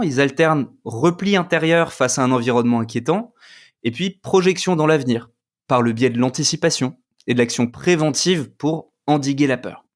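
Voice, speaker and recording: A man co-hosting a podcast, giving a measured, scripted explanation as an enneagram coach and trainer. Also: mid-range voice.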